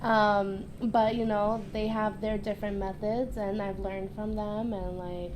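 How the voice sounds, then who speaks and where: A woman's voice: mid-range voice, flat delivery, measured pace, noisy background. A young woman from New York, a farm co-founder, chatting casually on a podcast with lots of fillers and hesitations.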